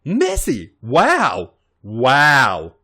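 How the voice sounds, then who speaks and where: A man voicing a sweet, wide-eyed young woman in a story, with breathy exclamations and a teasing, stern edge. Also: mid-range voice, wildly swooping pitch, very slow, pushed and bright, voice pushed up, heavily acted. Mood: excited, bright.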